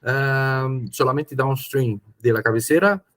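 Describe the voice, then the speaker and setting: Man from Chile, mid pitch, rambling podcast talker. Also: unhurried, fairly bright tone. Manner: rambling, with repeated words and fillers. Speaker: podcast host. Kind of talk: casual chat.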